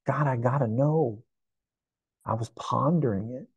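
A man's voice: medium pitch, measured pace, slightly rough, no room sound, highs cut off. A man in his sixties preaching a sermon to camera in a church, earnest and reflective, often repeating phrases. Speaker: pastor. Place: indoor church sanctuary.

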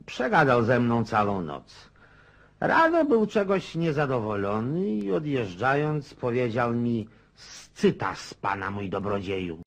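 An actor playing a boorish, domineering Russian country landowner in a radio drama, blustering, bossy and folksy. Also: male voice, mid-range voice, sing-song, a little slow. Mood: jovial, expansive. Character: boorish, boisterous provincial Russian landowner, domineering husband and father. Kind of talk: Polish radio drama acting.

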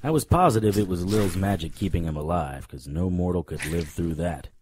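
A man playing a cocky, world-weary paranormal investigator, narrating a noir radio drama in a wry, deadpan way. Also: deep voice, brisk, a little hiss or hum, natural voice. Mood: grim, measured.

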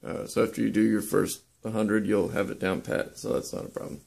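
Gravelly-voiced man explaining a craft. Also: fairly low pitch. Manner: relaxed, halting, many ums and uhs. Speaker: woodworking instructor. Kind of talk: casual how-to demonstration. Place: indoor workshop.

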